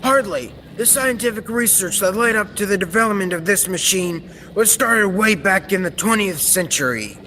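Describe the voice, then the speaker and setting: A man's voice: sits high, moderate pace, few pauses, full character voice. A young man playing an earnest, proud scientist inventor in an old-time radio serial, explaining things formally. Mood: earnest, explanatory. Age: teenager or young adult. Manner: formal, explanatory, technical delivery. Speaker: student actor. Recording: reverberant hall, steady background noise.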